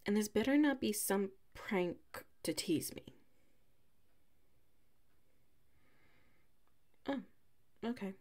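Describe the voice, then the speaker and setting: A woman performs a tsundere classmate in an intimate audio roleplay. She speaks slowly and haltingly at a medium pitch, defensive and huffy, as if hiding a crush behind her flustered scolding. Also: darkish tone. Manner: halting, huffy, defensive, slips into muttered whispers.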